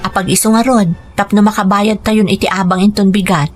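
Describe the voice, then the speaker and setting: Gentle Filipino mother and wife played by a woman, reassuring and patient, radio drama dialogue over a light music bed. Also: medium pitch, flat delivery, a little fast, close to an everyday voice. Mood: warm, domestic. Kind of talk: radio drama acting in domestic scenes.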